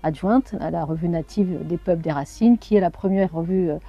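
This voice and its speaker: A French woman in her forties, a journalist and anthropologist, telling her life story in an interview with thoughtful pauses and hesitations. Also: on the low side, dark tone, lo-fi sound, soft background music. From France. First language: Arabic. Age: 40s. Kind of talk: personal interview.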